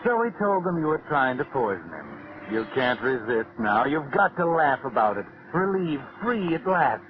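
Actor as sinister radio narrator, noisy recording. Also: male voice, mid-range voice, sing-song, normal speed, lightly acted. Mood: sinister, knowing. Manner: insinuating, theatrical. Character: sinister omniscient narrator, knowing and mocking. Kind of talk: 1940s radio suspense narration in second person. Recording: muffled noisy old radio broadcast.

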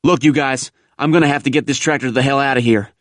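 A brisk male military tractor driver from a war memoir audiobook, acted out by a man narrating. He is slangy, blunt and anxious, pitched up and pushing with urgency. The sound is close and dry. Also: a bit bright, no room sound, lightly acted. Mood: anxious groaning. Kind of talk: audiobook character dialogue.

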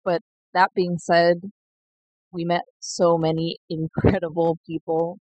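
A young woman sharing a slow, heartfelt personal reflection in a recorded message. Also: fairly low pitch, flat delivery, darkish tone, slightly rough, no room sound, highs cut off. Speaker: seed collective member.

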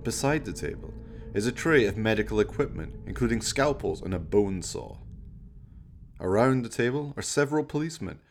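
A man's voice: on the low side, lively intonation, normal speed, a little hiss or hum. A man giving scripted narration as the storyteller of a radio play.

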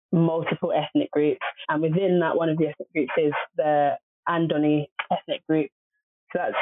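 A woman guest talks casually, pausing often on fillers. Her voice sounds thin and phone-like, with no room around it. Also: sits low, no room sound. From family from southern Nigeria. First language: Obolo. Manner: hesitant, many fillers. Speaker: podcast guest. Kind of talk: casual interview chat. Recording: dry, phone-like lo-fi audio.

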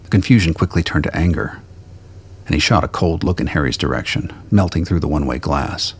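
A man's voice: very deep voice, brisk, breathy edge. A man narrating a science fiction radio play in a scripted, dramatic storytelling style.

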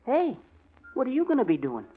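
An eager, naive lad assisting a detective in a 1940s radio drama. The voice is high and quick, with swooping inflection. It sounds thin, like an old broadcast. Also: male voice, wildly swooping pitch, breathy edge, phone-quality sound, exaggerated voice.

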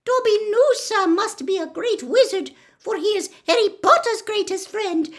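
Lady doing a tiny squeaky house elf guy from a storybook, super high, eager n humble, talks in broken third person. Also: measured pace. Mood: overwhelmed, adoring.

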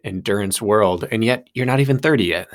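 A man's voice: fairly low pitch, brisk. A man hosting a podcast, chatting easily and telling stories to his guest. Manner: easygoing, storytelling, laughs along. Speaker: podcast host. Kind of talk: casual podcast interview.

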